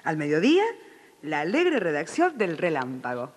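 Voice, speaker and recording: Low-pitched Argentine woman announcer. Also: huge pitch swings, normal speed, noisy background. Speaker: radio announcer and co-presenter. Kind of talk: reminiscing and presenting. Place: television studio.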